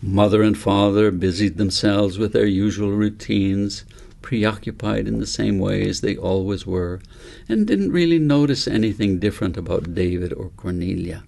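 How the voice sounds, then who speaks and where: A man narrating an audiobook story in a gentle, measured, deliberate way. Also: fairly low pitch, vocal fry. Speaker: author reading own book aloud.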